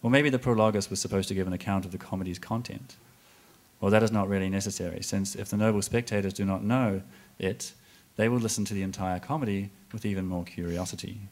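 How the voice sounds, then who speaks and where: A loud, mocking prologue speaker with a low voice, played by a man at a live stage reading. He scolds and jeers in a broad theatrical manner, laughing scornfully. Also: fairly even intonation, fast, close to an everyday voice. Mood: scolding. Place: live performance space with audience.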